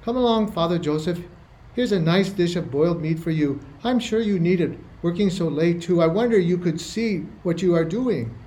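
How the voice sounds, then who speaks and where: A man's voice: high voice, moderate pace, dark tone, close to an everyday voice. A man playing a kindly, chatty rustic housewife in an amateur radio play, with a homely, fussing manner. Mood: cosy, fussing. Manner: homely, fussing, folksy dialect.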